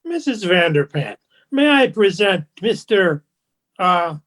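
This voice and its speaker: A man's voice: high voice, big pitch swings, slow, a bit bright, exaggerated voice. A man as a formal, genteel society go-between. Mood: deferential. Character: deferential, snobbish society go-between, smoothly polite. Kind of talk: radio play acting. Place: remote home recording over video call. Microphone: video call.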